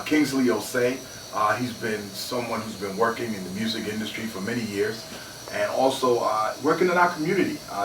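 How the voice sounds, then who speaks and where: A man hosting a radio interview in a studio, relaxed, with many "uh" fillers, calling his guest brother. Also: medium pitch. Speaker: radio show host. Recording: roomy, distant mic with noise.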